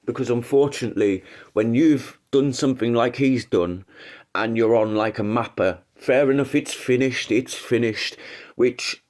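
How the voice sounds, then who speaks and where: A man's voice: medium pitch, unhurried, darkish tone. A man with a head cold, hosting a casual livestream and rambling through his opinions with frequent restarts. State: congested with a cold. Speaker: livestream host.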